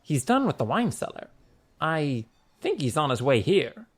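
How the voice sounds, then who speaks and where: A man's voice: a little high, big pitch swings, unhurried. A man voicing a cheerful, eager young bellman, new to the job, speaking politely and hesitantly in an audiobook. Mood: nervous.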